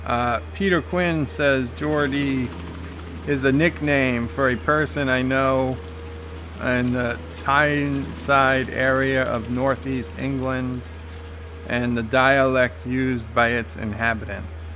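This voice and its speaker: A man talking at an unhurried, drawn-out pace as he reads his viewers' comments and replies to them. His pitch sits in an ordinary middle range, and he fills gaps with "uh". Faint background noise hums under his voice. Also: fairly even intonation. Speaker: game streamer reading live chat. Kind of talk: casual live-stream commentary.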